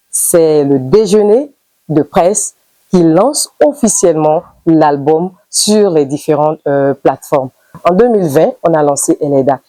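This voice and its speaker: A young adult woman singer from Benin, answering interview questions in a measured way, pausing with fillers; her first language is Idaasha. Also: sits low, warm tone, dry recording.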